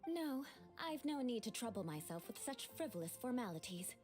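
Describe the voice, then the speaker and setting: A woman voicing a proud aristocratic young woman knight in game dialogue, speaking in a formal, measured, lofty manner. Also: a little high, big pitch swings, over music.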